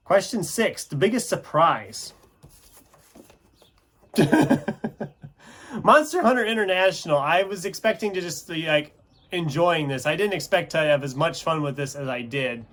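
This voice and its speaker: A man with a somewhat high voice chats casually as a video host sharing his opinions. He speaks off the cuff in a conversational, rambling way, often breaking off and restarting his thoughts. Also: a bit bright. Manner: rambling, self-interrupting, conversational. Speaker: book reviewer video host. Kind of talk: casual unscripted chat to camera.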